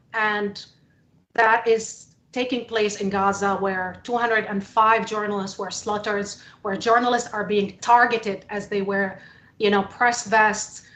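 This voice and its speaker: A woman from the Middle East speaking emphatically and deliberately as a journalist on a panel about politics. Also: medium pitch, a bit bright. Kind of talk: panel testimony, impassioned political talk. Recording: echoey room.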